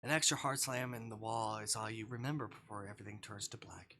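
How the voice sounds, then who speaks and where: A man's voice: on the low side, fast, bright, forceful tone. A man reading a visual novel aloud as a streamer, in a dramatic narrating style.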